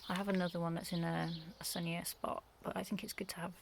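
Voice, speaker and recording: A woman from the UK, croaky from just waking up, giving a quiet, half-whispered tour of her back garden in the early morning. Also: fairly low pitch, little pitch movement, fast talker. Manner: hushed, half-whispered talk. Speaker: gardening vlogger. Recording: noisy outdoor recording with birdsong.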